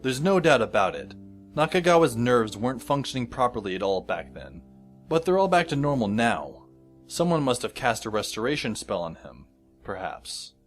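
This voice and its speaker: An actor playing a dry, sardonic teenage schoolboy who narrates an audio drama in the first person. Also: male voice, mid-range voice, big pitch swings, normal speed, lightly acted. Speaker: narrator and lead character. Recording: music under the voice.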